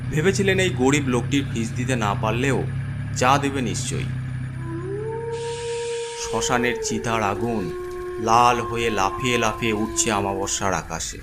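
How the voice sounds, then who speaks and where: A man's voice: mid-range voice, measured pace, pauses often, very noisy. A man narrating a scripted horror story in a dramatic storytelling style. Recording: music under the voice.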